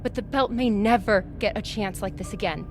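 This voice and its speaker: A woman's voice: medium pitch, moderate pace, over music, natural voice. A woman playing an earnest, persuasive space crewmate in a video game, direct and urgent in her questioning. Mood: pleading, challenging. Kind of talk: dramatic video game acting.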